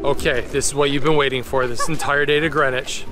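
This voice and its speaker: A man's voice: medium pitch, steady tone, brisk, over loud music. A man in his forties hosting a travel vlog, chatting and joking as he explains sights while walking outdoors and through museums. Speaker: travel vlogger guide. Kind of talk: casual travel vlog narration. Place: outdoors in a park and museum halls.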